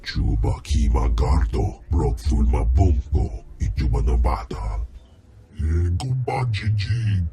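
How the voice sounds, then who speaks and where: An actor playing a bloated, domineering alien crime lord who rumbles in a made-up alien tongue in a game cutscene. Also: male voice, animated, dark tone, heavily acted. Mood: menacing. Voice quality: deep rumbling alien speech. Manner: slow, menacing gibberish tongue. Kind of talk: video game cutscene acting.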